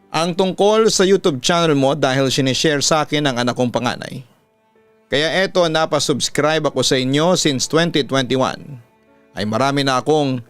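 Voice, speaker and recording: A Filipino man working as a radio-style narrator, reading a first-person horror story in a measured, deliberate way over music. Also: on the high side, smooth, dry recording. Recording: music playing under the voice. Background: background music.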